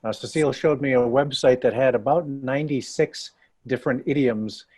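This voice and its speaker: A man sharing a wry, relaxed remark in a group discussion. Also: medium pitch, moderate pace, no room sound.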